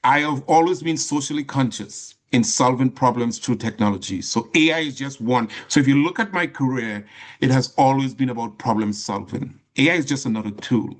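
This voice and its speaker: A middle-aged man from Trinidad with a Trinidadian accent, giving an earnest personal talk as a guest speaker, stressing phrases slowly and restarting often. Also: medium pitch.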